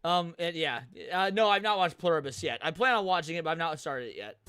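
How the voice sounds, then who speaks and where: A young American man giving casual livestream commentary, with slangy, profane, excitable talk. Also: fairly high pitch, fast, clean tone, no room sound, studio quality. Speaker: livestream host reacting to a video.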